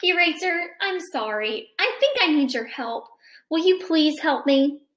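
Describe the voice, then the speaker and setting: Woman putting on a bossy pencil, bright voice. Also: high-pitched, lively intonation, unhurried, roomy sound. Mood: apologetic. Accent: American. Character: bossy, dismissive pencil who belittles the eraser. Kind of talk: children's storybook character reading.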